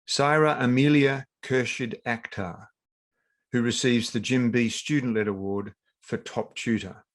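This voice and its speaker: A man's voice: medium pitch, fairly even intonation, unhurried. A man formally reading out award winners in a ceremonious, scripted manner. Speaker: award presenter.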